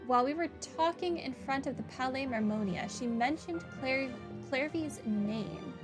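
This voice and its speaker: A woman with a slightly high voice talks casually while she plays, thinking aloud and musing about the story. Faint game music runs behind her. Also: moderate pace. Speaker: game streamer. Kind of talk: casual gameplay commentary.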